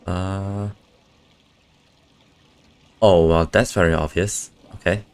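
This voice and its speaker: Very deep, slow, laconic game streamer. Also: steady tone, a little mellow, smooth, dry recording. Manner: laconic, dry, sweary. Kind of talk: live gameplay commentary, muttering reactions.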